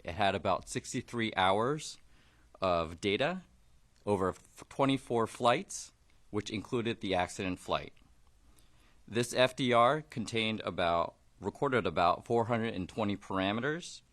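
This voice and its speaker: A man's voice: on the low side, expressive, a little slow, a bit bright. A man speaking as a technical investigator, giving a formal briefing in a factual, matter-of-fact way.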